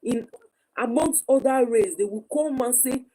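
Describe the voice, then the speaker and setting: Woman, Nigerian accent, soft dark tone, opinionated, repeats herself, informal debate with Pidgin, noisy recording with clicks. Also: on the high side, unhurried. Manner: emphatic, repetitive, opinionated. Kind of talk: heated informal discussion with Pidgin mixed in.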